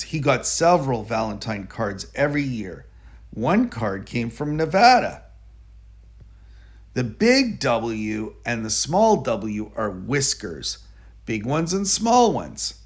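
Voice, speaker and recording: Slow, animated man reading a storybook. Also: mid-range voice, husky. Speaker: narrator. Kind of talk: children's storybook read-aloud.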